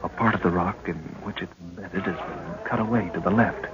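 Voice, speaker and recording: A man playing a brisk young adventurer. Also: low voice, steady tone, lightly acted. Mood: alert. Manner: brisk and respectful. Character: loyal young male adventurer, dutiful aide. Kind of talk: 1930s radio drama acting. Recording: dull old radio recording with clear hiss.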